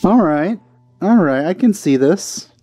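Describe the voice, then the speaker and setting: A man's voice: sits high, lively intonation, measured pace, mellow, husky, dry recording. A man doing casual, wry, sceptical commentary as he plays through a video game. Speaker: let's play host. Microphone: close dry mic. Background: faint game music.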